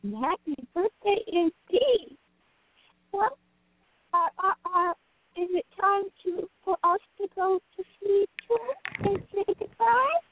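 A woman's voice: extremely high pitch, expressive, takes it slowly, full character voice. A woman voicing a sweet, childlike talking cat on a children's bedtime radio show, speaking earnestly and a little hesitantly. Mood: gentle, hesitant. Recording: thin phone-like line, roomy echo.

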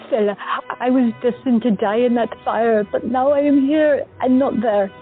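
An earnest female patient who has visions pleads about a fate she is sure of, as a woman plays her. Her voice is high. The sound is thin and tinny, with soft music behind it. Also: steady tone, normal speed, natural voice. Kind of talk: audio drama acting.

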